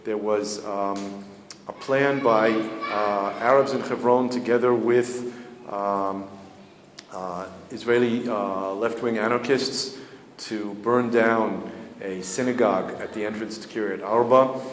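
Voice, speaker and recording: Man, 50s, spokesman, measured and matter-of-fact, fairly low voice, echoey indoor hall. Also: steady tone. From United States. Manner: measured, matter-of-fact, pauses to search for words. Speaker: community spokesman answering journalists. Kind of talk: press interview answers. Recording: echoey hall, faint ambient noise.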